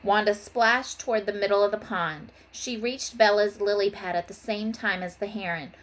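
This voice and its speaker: A woman teacher reading a children's storybook aloud in an expressive way. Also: medium pitch, moderate pace, low-quality recording. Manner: expressive, voices the characters' dialogue. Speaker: elementary school teacher.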